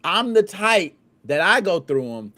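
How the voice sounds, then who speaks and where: Animated, lively American man chatting. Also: high voice, unhurried. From United States. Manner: animated, slangy, laughs and acts out scenes. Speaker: podcast host. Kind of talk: casual podcast chat.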